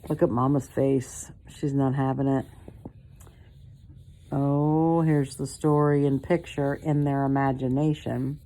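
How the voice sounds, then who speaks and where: An older woman with a low voice reads a picture book aloud at bedtime. She is expressive and breaks off now and then to chat casually to the listening child, as a grandmother would. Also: takes it slowly, rough recording. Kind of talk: bedtime story reading with casual asides to a child.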